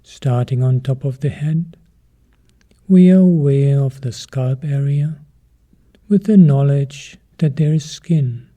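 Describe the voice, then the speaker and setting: A man leading a guided meditation as a teacher, calm and measured, in short phrases with pauses between them. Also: medium pitch.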